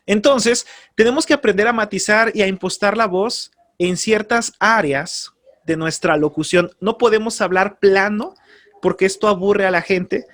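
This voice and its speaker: A man teaching a lesson on radio announcing, speaking earnestly and calmly, giving advice straight to his listeners and repeating key terms. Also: high voice, moderate pace. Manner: earnest, advisory, addresses listeners directly. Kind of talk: spoken course lesson.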